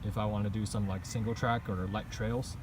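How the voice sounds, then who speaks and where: A man giving a casual, unscripted walkthrough of his gear in a halting, hesitant way. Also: little pitch movement. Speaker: vlogger reviewing own bike setup. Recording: noisy outdoor recording with traffic rumble.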